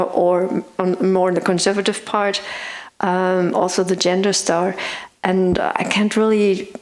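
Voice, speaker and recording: A woman academic speaking on a panel, hesitant with many pauses and restarts. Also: fairly low pitch. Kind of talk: panel discussion.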